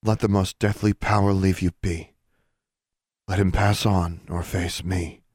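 A man's voice: low voice, level tone, measured pace, a little mellow, dry recording, clean studio sound. A man voicing a teenage girl witch who is desperate, defiant and insistent, as in an audiobook. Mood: solemn, incanting. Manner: insistent, challenging.

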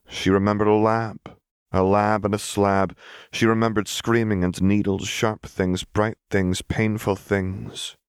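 A man's voice: sits low, smooth, no echo, clean studio sound. A man narrating dramatic fiction as a scripted audiobook reading.

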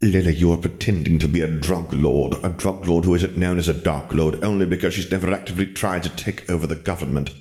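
A man voicing a dry, haughty dark wizard revolutionary in an audiobook story, speaking in a flat, wry, long-winded way. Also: deep voice, fairly quick, hardly any pauses, natural voice. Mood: flat, disapproving.

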